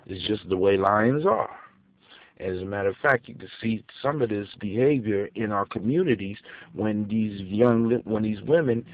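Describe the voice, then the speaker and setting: A man's voice: fairly low pitch, normal speed. Echoey, phone-like male storyteller. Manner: dramatic, emphatic, repeats phrases for effect. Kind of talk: improvised oral folktale telling for adults. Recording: echoey, muffled phone-like audio.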